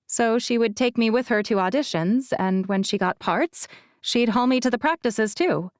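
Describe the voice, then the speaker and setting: A woman voicing a guarded, self-effacing young actress in an audiobook, telling her story in a measured, confiding way. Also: a little high, no echo, clean studio sound, lightly acted. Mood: reflective. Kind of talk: audiobook character dialogue.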